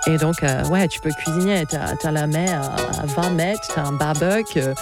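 A woman's voice: low-pitched, moderate pace, over music. A young Australian woman, a native English speaker, chatting as an interview guest in French. She laughs often and stops to search for words. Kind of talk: casual interview chat.

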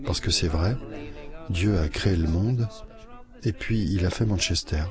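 A man reading an even, measured voice-over translation of an interview. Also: low voice, music in the background.

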